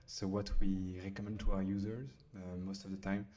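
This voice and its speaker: A man with a French accent, casually talking through a software tutorial at his computer, pausing often with fillers as he types. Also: low voice, level tone. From France. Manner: hesitant, many fillers while typing. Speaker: software demo host. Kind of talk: casual screen-recorded tutorial.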